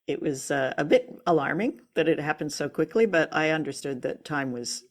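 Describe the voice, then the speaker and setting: Low-pitched woman in casual review chat. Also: normal speed. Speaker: co-host of a radio drama review show.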